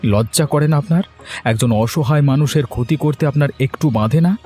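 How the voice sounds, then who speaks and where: Man playing a hot-headed, mellow-voiced young villager. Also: a little high, brisk, natural voice. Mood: furious accusing. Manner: accusing, blustering, confrontational. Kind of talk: cartoon story dialogue. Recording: music under the voice.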